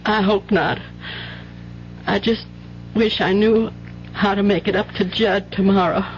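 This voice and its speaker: Actress as weary American wife, muffled radio recording. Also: female voice, medium pitch, steady tone, unhurried, over music, natural voice. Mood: hurt, wary. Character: weary, wary working-class wife and mother. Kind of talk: old-time radio drama acting. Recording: dull, noisy 1970s radio recording with hum.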